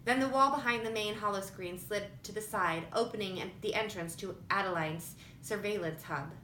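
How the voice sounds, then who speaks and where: A woman's voice: moderate pace, pushed and bright. A woman reading scripted fiction aloud as an audiobook narrator. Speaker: audiobook reader. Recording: roomy echo with faint rumbling noise.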